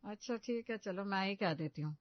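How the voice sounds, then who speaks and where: A woman playing the groom's middle-aged mother in an animated comedy story, exasperated, nagging and full of scheming instructions. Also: mid-range voice, brisk, no echo, natural voice. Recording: dull, lo-fi studio voice-over.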